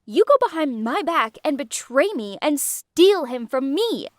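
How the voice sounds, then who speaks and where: A woman's voice: high voice, sing-song, measured pace, no echo, studio quality. A woman playing a spiteful, sneering young woman.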